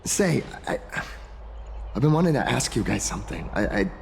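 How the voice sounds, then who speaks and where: A man's voice: mid-range voice, big pitch swings, normal speed, vocal fry, a little hiss or hum. A man playing a friendly, eager young explorer in a video game, chatty and earnest, with a stammer. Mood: hesitant, probing. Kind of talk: video game character dialogue. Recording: loud music behind the voice.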